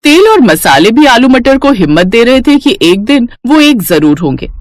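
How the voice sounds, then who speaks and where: A woman's voice: on the high side, sing-song, normal speed, dry recording, clean studio sound. A woman narrating a scripted moral story as the storyteller.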